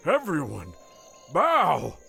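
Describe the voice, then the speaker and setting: An exclamatory, reverent fantasy council member played by a man. His delivery is slow and swings widely in pitch, over faint music. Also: wildly swooping pitch, creaky, bright, forceful tone, husky, full character voice. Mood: awed. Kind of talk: fantasy audio drama acting.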